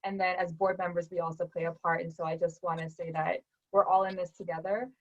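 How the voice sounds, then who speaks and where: A woman's voice: on the low side, flat delivery, a little fast. A woman school board candidate and founding principal giving an earnest closing statement over a video call. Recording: dull lo-fi sound.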